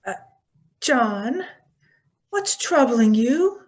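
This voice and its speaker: A woman playing a judge's anxious, gentle wife, hesitant and concerned, in old-time radio drama style. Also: fairly high pitch, very animated, faint music behind, heavily acted. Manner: hesitant, drawn-out. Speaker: actor in a radio play.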